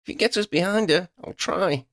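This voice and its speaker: A man's voice: very high-pitched, lively intonation, moderate pace, gravelly, a bit bright, pitched above the performer's own voice, exaggerated voice. A man voicing an earnest, fiery young woman, a witch in a storybook, pleading and emphatic. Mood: urgent, exasperated.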